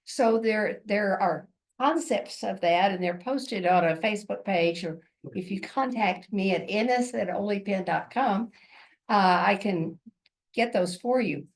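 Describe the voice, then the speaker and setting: A woman's voice: mid-range voice, unhurried. A woman giving an informal presentation at a community meeting, earnest and rambling, often correcting herself.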